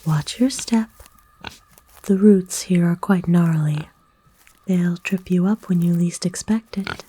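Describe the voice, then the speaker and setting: A woman voicing a kind, nurturing forest witch in a soothing, folksy, unhurried breathy whisper for an ASMR roleplay. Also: fairly low pitch, warm tone, slight background noise. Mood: gently coaxing. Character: kind, nurturing forest witch, playful and gently old-fashioned. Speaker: ASMR voice actor.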